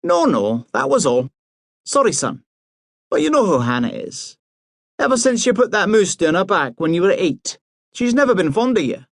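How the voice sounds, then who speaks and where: A man reading aloud and voicing a busy mother, brisk and coaxing. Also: high-pitched, sing-song, no echo, studio quality, voice pushed up. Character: Scottish middle-aged mother, busy and brisk.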